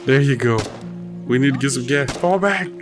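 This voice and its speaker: A man giving casual live gameplay commentary, playful and full of excitable exclamations to his teammates. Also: mid-range voice, moderate pace, music in the background. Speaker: gaming video host.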